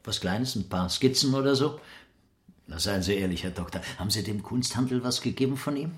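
An actor playing a curious, chatty West Berlin curator on a visit, in a conversational radio drama. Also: male voice, fairly low pitch, animated, a little fast, close to an everyday voice. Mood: probing.

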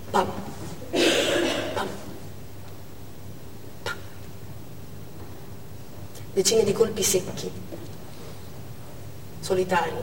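Anguished woman doctor, theatre monologue, intense n clipped, high voice, recorded far off in a big hall. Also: a little mellow. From Armenia (the character). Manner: intense, deliberate, short clipped phrases. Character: Armenian woman doctor recounting a theatre siege, anguished, urgent. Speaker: stage actress. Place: indoor theatre stage. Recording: echoey theatre hall, distant mic, faint noise.

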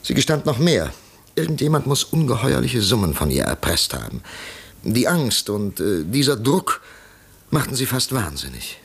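A man playing a troubled, grieving gentleman in a 1950s German radio play, halting, emotional and dramatic in his delivery. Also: mid-range voice, very animated, slightly rough. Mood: anguished. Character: troubled, grieving wealthy gentleman, agitated and haunted.

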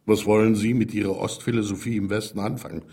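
An actor playing a self-assured East German lieutenant colonel in a radio drama, jovial, authoritative and condescending. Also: male voice, on the low side, flat delivery, fast, mellow, slightly rough. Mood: pompously confident.

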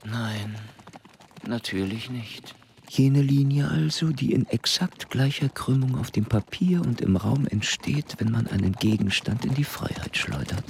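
An actor playing a brilliant, awkward mathematician in a German radio play, halting, muttering and blunt. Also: male voice, medium pitch, gravelly, slight background noise. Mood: pensive, dry. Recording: music behind the voice.

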